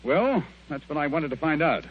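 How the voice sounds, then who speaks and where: An actor playing a self-assured, sardonic British gentleman detective in a radio drama, theatrical and crisply commanding. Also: on the high side, lively intonation, normal speed, lightly acted. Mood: explanatory. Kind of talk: mid-century radio drama acting. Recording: dull, noisy old radio recording.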